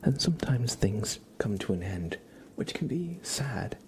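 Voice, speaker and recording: Hushed low-voiced man doing soft ASMR. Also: big pitch swings, measured pace, slight background noise. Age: young adult. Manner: gentle, hushed, half-whispered. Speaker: ASMR livestreamer. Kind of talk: soft-spoken ASMR, casual chat with viewers.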